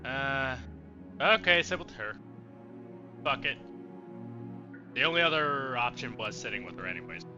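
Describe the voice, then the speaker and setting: A man doing casual, playful let's play commentary, irreverent and quick to swear. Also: medium pitch, expressive, sharp tone, dull audio, soft background music. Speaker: game streamer.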